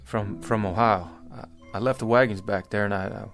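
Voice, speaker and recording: An actor playing an earnest, bewildered pioneer wagon traveler in a radio drama, speaking hesitantly in folksy old-time phrasing, with music behind. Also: male voice, lowish voice, fairly even intonation, fairly quick, a bit bright. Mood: confused, faltering.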